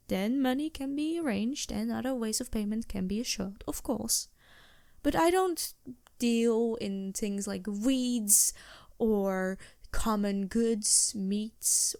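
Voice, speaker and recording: A woman acting as a genteel female vampire merchant in a fantasy audio roleplay. She is courteous, earnest and a little playful, with formal phrasing and hesitant asides. The voice is close and very dry, at a medium pitch. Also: takes it slowly, darkish tone, no room sound. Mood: proud, explaining.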